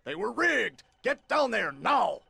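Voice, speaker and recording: A man voicing an irascible, bossy newspaper boss in a video game, barking impatient orders in a gravelly, blustering voice. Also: sits high, big pitch swings, slow, sharp tone, dry recording, heavily acted. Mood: irate, barking.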